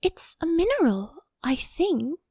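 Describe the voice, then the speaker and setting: A woman's voice: very high-pitched, sing-song, unhurried, dark tone, no room sound, voice pushed up, full character voice. A woman voicing a polite, curious young girl in a storybook, careful and earnest in manner. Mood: earnest, corrective.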